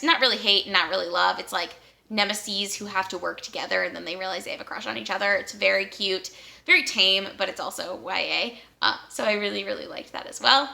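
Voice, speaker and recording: A young adult woman chatting casually about books as a vlogger, upbeat and rambling, with easy laughs and quick asides. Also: medium pitch, creaky, sharp tone. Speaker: book vlogger.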